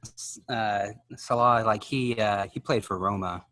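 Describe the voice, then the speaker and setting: A man's voice: on the low side, a little slow, sharp tone. A man talking casually about sports as a podcast co-host.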